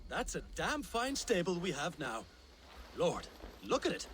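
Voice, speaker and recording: An actor playing a friendly Viking stable keeper in a video game. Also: male voice, high voice, wildly swooping pitch, measured pace, fairly bright tone, slight background noise, exaggerated voice.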